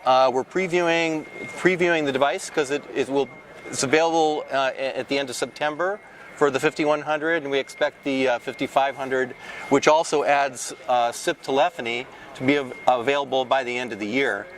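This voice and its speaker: A man in his fifties giving an informal, explanatory product demonstration as a company representative, with halting delivery and frequent false starts. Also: medium pitch, steady tone. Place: large indoor exhibition hall booth. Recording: clear ambient trade show floor noise.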